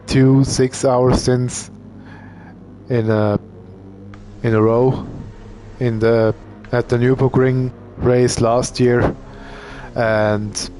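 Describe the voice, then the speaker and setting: A man commentates an online endurance race in a loose, easygoing way, stopping to think as he goes. He speaks slowly at a middling pitch. There is a faint hiss of noise behind him. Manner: relaxed, thinking aloud, with fillers. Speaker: sim racing commentator.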